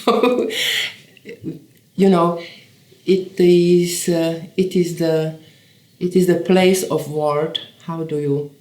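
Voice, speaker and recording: A woman in her seventies who grew up in Slovakia with Hungarian as her first language speaks as a poet in a reflective documentary interview. She talks haltingly, searching for words and often restarting her sentences. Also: low-pitched, warm tone. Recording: roomy echoey sound.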